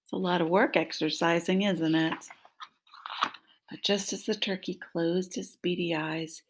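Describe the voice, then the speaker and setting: Slow, slightly husky woman reading to children. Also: lowish voice, fairly even intonation. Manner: prompting, question-asking, addressing young children. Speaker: teacher reading a picture book aloud. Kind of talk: interactive children's story read-aloud. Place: small room at home. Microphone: laptop microphone at arm's length.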